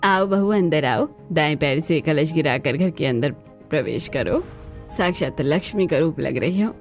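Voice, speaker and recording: A woman voicing a warm, approving mother-in-law in a family cartoon, with an affectionate, coaxing manner. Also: sits low, animated, moderate pace, faint music behind. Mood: warm, caring. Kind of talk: Hindi family cartoon voice acting.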